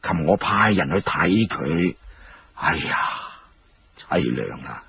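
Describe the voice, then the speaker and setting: Man acting a low, careworn old Qing minister. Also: animated, creaky, dull audio, a little hiss or hum, exaggerated voice. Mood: troubled, sorrowful. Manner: slow, sighing, earnest counsel. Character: elderly grave Qing chief minister, humble and careworn.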